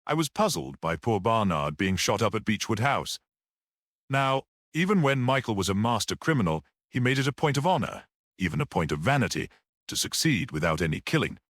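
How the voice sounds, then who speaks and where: A man voicing a gentle, shrewd priest detective in a story, speaking simply and earnestly with quiet certainty. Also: fairly low pitch, very animated, brisk, no echo, studio quality. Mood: reflective.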